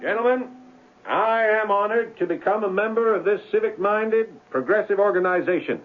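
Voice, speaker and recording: Husky, earnest young suitor, thin vintage radio. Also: male voice, sits high. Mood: formal, proud. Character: earnest, smooth-talking young suitor and reformed crook. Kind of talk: old-time radio drama acting. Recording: muffled phone-like vintage radio sound.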